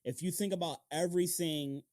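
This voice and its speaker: A man's voice: fairly high pitch, steady tone, a little fast, a little mellow, no echo, clean studio sound. A man hosting a podcast, chatting casually and slangily with plenty of loose swearing. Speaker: podcast host. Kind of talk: casual, animated podcast chat.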